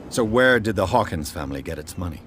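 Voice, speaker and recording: An actor playing a composed, dogged detective in a video game, with a terse, dry, questioning way of talking. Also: male voice, low-pitched, animated, fast, a bit bright, a little hiss or hum. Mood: probing.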